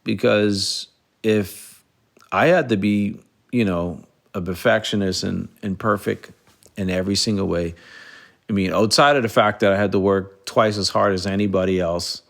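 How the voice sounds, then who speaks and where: A middle-aged man from the Bahamas speaks in a low, gravelly voice. He hosts a solo podcast and reflects earnestly, often stopping and restarting his sentences. His voice has a rough edge of vocal fry throughout. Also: fairly even intonation. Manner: earnest, halting with frequent restarts and fillers. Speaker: podcast host.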